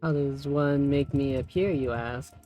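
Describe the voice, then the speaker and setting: A woman's voice: rumbling low, fairly even intonation, a little slow, mellow, faint music behind. A woman co-hosting a casual tutorial as the learner, with a hesitant, easygoing way of talking. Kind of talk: casual chat, tutorial recap.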